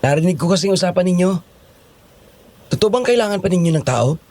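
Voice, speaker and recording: A man's voice: high-pitched, lively intonation, fairly quick, darkish tone. An actor playing a dutiful, polite and earnest male monster hunter in a horror audio drama. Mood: humble, eager.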